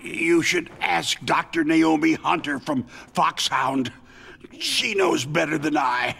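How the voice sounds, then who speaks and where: A man voicing a wounded, cynical arms company executive in a video game, with a creaky voice and a weary, condescending way of explaining things. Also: on the high side, lively intonation, takes it slowly, bright, forceful tone, exaggerated voice. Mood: pained, weary. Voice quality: creaky, gravelly.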